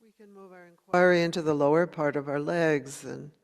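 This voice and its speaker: A woman meditation teacher leading a guided meditation in a gentle, unhurried way, leaving long pauses between short phrases. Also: sits low, steady tone, creaky. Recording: long hall reverb.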